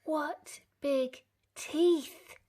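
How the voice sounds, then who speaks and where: A woman doing a sweet high little girl. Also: extremely slow, mellow. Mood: wondering. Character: little girl, cheerful and polite.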